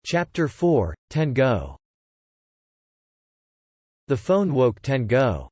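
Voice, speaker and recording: A man narrating fiction from a script as an audiobook narrator, in a measured, descriptive way. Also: mid-range voice, very animated, a little mellow, husky, no room sound.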